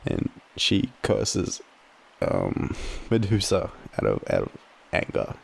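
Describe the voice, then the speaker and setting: A young Australian man in a drowsy, halting, casual boyfriend roleplay, murmuring softly as he rambles through a story with slangy swearing. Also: low voice, huge pitch swings, creaky. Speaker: audio roleplay performer playing a boyfriend. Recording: faint background ambient noise.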